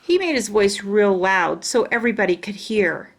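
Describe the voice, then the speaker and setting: A woman's voice: medium pitch, animated, normal speed. A woman reading a children's storybook aloud as a storyteller.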